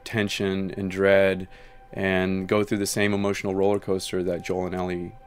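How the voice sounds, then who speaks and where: Man game dev in an interview, low and pretty flat, bit of music under it. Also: normal speed. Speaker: game developer being interviewed. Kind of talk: explanatory documentary interview talk.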